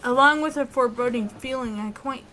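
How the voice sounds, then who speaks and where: A woman hosting a let's play, reading a visual novel aloud in an expressive way. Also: a little high, fairly quick.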